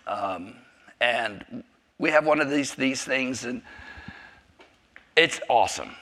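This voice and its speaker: Rambling, bright-voiced man in his fifties. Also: mid-range voice, lively intonation, slow pace. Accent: American. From South Africa. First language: Afrikaans. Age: 50s. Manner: rambling, self-interrupting, jokey. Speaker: church class speaker. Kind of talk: personal testimony talk.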